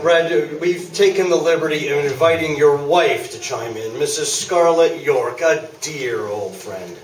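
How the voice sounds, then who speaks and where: A man's voice: on the high side, a little slow, a little hiss or hum, close to an everyday voice. An actor playing a genial, old-fashioned male sports talk-show host, courteous and a little hesitant, in a live radio play. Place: live theatre, mic at a distance. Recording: roomy distant stage recording, audible crowd.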